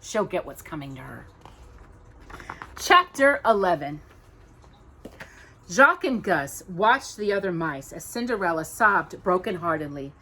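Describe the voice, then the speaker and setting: A woman's voice: on the low side, sing-song, measured pace, long pauses, sharp tone, slight background noise. A woman reading a children's story aloud with dramatic, emphatic narration. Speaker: storytime reader.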